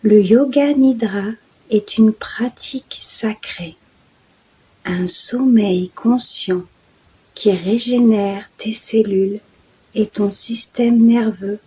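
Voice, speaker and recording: A woman guides a sleep meditation at an extremely unhurried pace. Her voice is mellow and very breathy, slipping often into a soft whisper. The delivery is hushed, soothing and deliberate. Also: mid-range voice, rough recording. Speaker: guided meditation host and yoga nidra guide.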